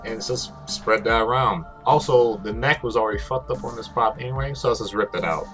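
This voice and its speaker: Male DIY host, deep voice, rambling informal tutorial delivery with loose swearing, music underneath. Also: fairly bright tone. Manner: casual, rambling, swears freely. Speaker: host, prop maker. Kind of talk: casual craft tutorial narration. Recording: music behind the voice.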